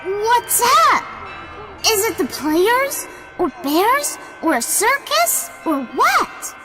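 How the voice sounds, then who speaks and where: A woman playing an excited young village boy who talks in an eager, insistent way. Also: very high voice, extremely slow, loud music behind the voice, full character voice. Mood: curious.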